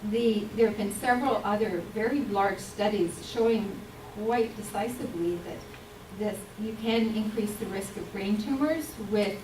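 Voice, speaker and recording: A woman giving an earnest, explanatory public health talk, with frequent pauses and ums. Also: medium pitch, noisy background. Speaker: health speaker. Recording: roomy hall mic from a distance.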